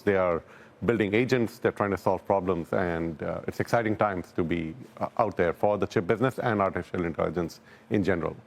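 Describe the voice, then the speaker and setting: A man speaking as a technology company executive in a live business news interview, explaining things calmly. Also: sits low.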